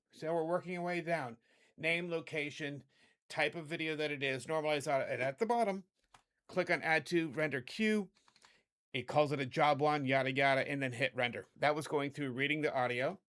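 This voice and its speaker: A middle-aged man with a hoarse voice hosting a casual video editing tutorial, chatty and rambling, often correcting himself. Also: a little high, fairly bright tone. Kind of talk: casual screen-recorded tutorial.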